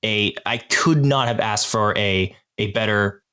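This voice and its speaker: A man hosting a podcast in an informal, appreciative and slightly halting way. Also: on the low side, sharp tone, clear, resonant tone. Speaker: podcast host. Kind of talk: casual hosting chat.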